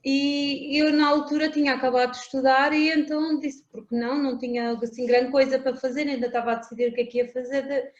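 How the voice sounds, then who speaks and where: A high-pitched woman with a European Portuguese accent talks about safari routes over a video call. She hesitates a lot and keeps filling gaps with "pronto". The sound is roomy and dull, like lo-fi call audio. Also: fairly even intonation, fast, few pauses. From South Africa. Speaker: safari company manager. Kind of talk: interview answers and route presentation.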